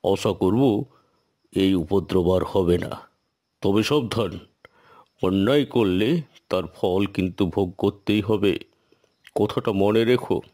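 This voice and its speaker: A man's voice: sits low, darkish tone. A man voicing a stern, righteous village tantric who admonishes people in a solemn, commanding way for a cartoon story. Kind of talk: Bengali cartoon story dialogue.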